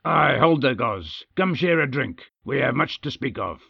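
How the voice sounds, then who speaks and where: A man voicing a gruff, hearty male dwarf king in an audiobook, speaking in a folksy dwarvish dialect, jovial and bossy. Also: medium pitch, lively intonation, unhurried, no room sound, muffled recording, full character voice. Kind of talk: audiobook character voice.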